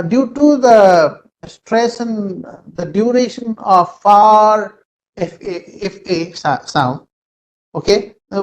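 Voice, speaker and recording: A man with an Indian English accent, a native Nepali speaker, giving an online lecture in a halting way with many fillers, over a video call. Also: sits high, rough recording.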